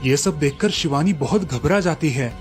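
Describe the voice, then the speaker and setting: A man's voice: on the high side, expressive, normal speed, soft background music. A man reading scripted narration for a cartoon moral story as its storyteller.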